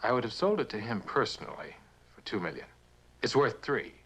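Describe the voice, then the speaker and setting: An actor playing a proud, bitter prospector, blunt and insistent, in a mid-century television drama. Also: male voice, fairly low pitch, big pitch swings, a little fast, a bit bright, slightly rough, exaggerated voice, rough recording. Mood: defiant. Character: proud, bitter mineral prospector, a man hard bargainer.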